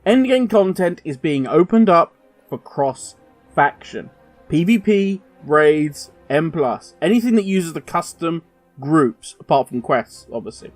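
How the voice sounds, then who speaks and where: A man's voice: fairly high pitch, animated, measured pace, no room sound, faint music behind. A man hosting a gaming video, giving emphatic, opinionated commentary straight to the viewer. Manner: emphatic, ranting, direct address.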